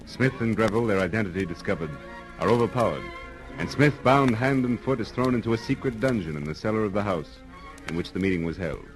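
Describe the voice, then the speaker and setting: A man narrating a 1930s radio serial from a script, with formal, dramatic, deliberate phrasing. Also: fairly low pitch, poor audio. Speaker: announcer and narrator. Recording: vintage radio recording with music behind. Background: music.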